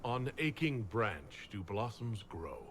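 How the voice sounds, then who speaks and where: An actor playing a suave, devoted male adventurer in video game dialogue, speaking softly, sincerely and in measured phrases. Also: lowish voice, big pitch swings, slight background noise, heavily acted. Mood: tender, poetic.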